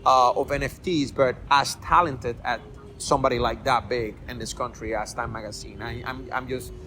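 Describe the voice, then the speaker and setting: A man's voice: medium pitch, fairly even intonation, moderate pace, bright, forceful tone, a little hiss or hum. Man hosting a podcast. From Puerto Rico. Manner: rambling, repeats words and restarts often. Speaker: podcast host. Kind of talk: casual interview chat.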